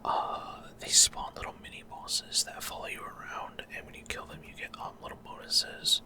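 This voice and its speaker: A man giving ASMR gameplay commentary in a soft, unvoiced whisper, hesitant and unhurried with trailing pauses. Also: very high voice, level tone. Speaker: ASMR gaming streamer.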